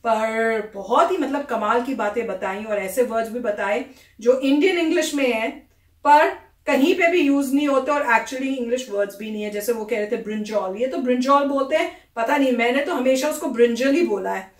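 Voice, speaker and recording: High-voiced woman chatting in an echoey room. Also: moderate pace. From India. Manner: chatty, animated storytelling. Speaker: reaction vlogger. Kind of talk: casual chat reacting to a video. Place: US home. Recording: echoey room, far from the mic.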